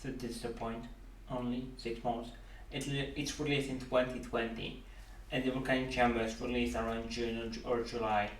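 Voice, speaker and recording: A man's voice: medium pitch, little pitch movement, normal speed. A young man whose first language is Polish, bluntly mocking a gaming video with casual commentary and free swearing. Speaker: channel host, commentator. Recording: roomy, distant mic with faint noise.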